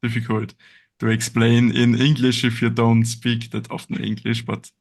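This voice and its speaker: A man with an Austrian German accent, a guest speaking halting non-native English and often searching for words. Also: mid-range voice, monotone, gravelly. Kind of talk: casual interview chat.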